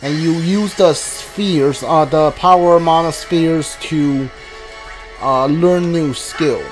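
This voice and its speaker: A man's voice: a little high, takes it slowly, low-quality recording. A man giving casual gameplay commentary as a streamer, hesitant and thinking aloud as he goes. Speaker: video game streamer. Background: video game music.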